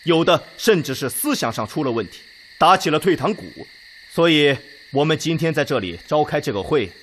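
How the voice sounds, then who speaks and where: An actor playing a steady, authoritative Red Army commander with oratorical, commanding delivery in a radio drama. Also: male voice, fairly high pitch. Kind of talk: Chinese radio drama acting.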